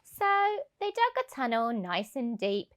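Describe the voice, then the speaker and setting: Slow, high-voiced woman reading a storybook. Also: big pitch swings. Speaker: childminder reading stories to children. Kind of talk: children's storybook narration.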